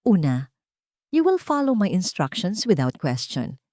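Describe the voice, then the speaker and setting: Woman doing strict cold head nurse, fifties, bossy and firm, audio story, low dry voice. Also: big pitch swings, measured pace, dry recording. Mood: cold, stern. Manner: cold, firm, commanding, no warmth.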